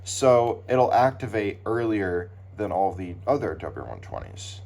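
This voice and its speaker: A man giving casual, unscripted commentary as a hobbyist doing an unboxing, speaking haltingly with pauses. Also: lowish voice, animated, a little mellow. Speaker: hobbyist unboxing weather radios. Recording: clear background noise, handling clicks.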